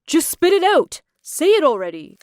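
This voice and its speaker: A woman's voice: high voice, very animated, takes it slowly, no room sound, clean studio sound, exaggerated voice. A woman doing a blunt, hot-tempered young man. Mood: angry.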